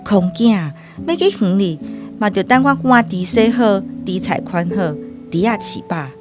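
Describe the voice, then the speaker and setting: An actress playing a protective, sharp-tongued rural aunt who scolds and demands fairness in a radio drama. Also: female voice, medium pitch, expressive, moderate pace, music in the background, lightly acted. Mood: homely. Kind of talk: Taiwanese radio drama acting.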